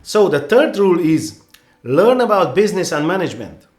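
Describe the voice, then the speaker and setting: A man's voice: high-pitched, big pitch swings, normal speed, darkish tone, roomy sound. A man with a Hungarian accent, a native Hungarian speaker, giving earnest, rambling photography advice with many restarts and "you know"s in a casual vlog.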